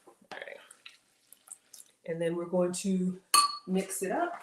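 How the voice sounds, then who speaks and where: A middle-aged woman with a slightly raspy voice, chatting casually to viewers while she cooks in her home kitchen. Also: fairly low pitch, animated, slow pace. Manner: chatty, talks to viewers as y'all. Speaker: cooking livestream host. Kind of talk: casual live cooking chat with viewers. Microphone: fixed camera at counter. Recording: echoey kitchen with clear background noise.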